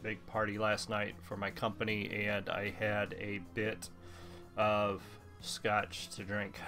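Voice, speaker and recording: Stuffy, slightly hoarse gaming host. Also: male voice, on the low side, slow. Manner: rambling, relaxed, many ums. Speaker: let's play host. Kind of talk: casual gameplay commentary. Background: faint game music.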